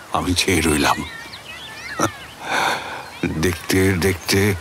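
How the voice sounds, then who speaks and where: A man's voice: deep voice, a bit bright, slight background noise, heavily acted. An actor playing an obsessed middle-aged family man who recounts a haunted pursuit in a theatrical, intense suspense narration. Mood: tense, brooding. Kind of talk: dramatic first-person audio story narration.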